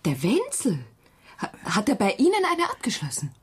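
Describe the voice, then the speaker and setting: An actress playing a guarded, wry woman who works as a hairdresser in a radio drama, speaking haltingly and trailing off. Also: medium pitch, wildly swooping pitch, breathy edge, heavily acted.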